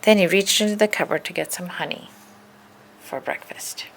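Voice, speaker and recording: A woman reading a children's story aloud in an expressive, deliberate narrating style. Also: fairly low pitch, creaky, noisy background. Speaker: storybook reader.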